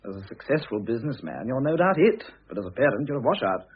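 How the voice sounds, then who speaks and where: An actor playing a cheeky, cutting young son with an English public-school accent, articulate and sardonic, in an old-fashioned radio drama. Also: male voice, mid-range voice, very animated, quick pace, breathy edge. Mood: scornful, indignant. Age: young adult. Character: cheeky, cutting Oxford-educated son, loyal to his mother. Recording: muffled, lo-fi old radio recording.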